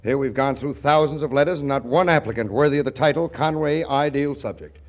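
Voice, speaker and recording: An actor in a 1940s radio comedy playing a self-important, bombastic boss with a grandiose manner. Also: male voice, mid-range voice, fairly quick, lightly acted. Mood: exasperated. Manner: pompous, grandiose.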